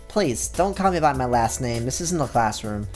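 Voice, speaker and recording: A sensible top-of-the-class teenage girl who talks calmly and gently chides her friends, read by a man in close to his own voice. Nothing is heavily put on. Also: mid-range voice, normal speed, soft background music, natural voice. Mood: prim. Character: sensible studious teenage schoolgirl.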